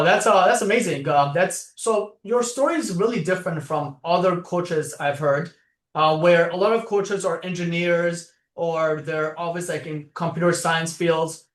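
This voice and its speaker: A man from Iran hosting a podcast, reacting with "wow" and asking long, searching questions. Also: on the high side. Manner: frequent "mm" backchannels, enthusiastic reactions. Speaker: podcast host. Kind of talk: conversational podcast interview. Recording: echoey room.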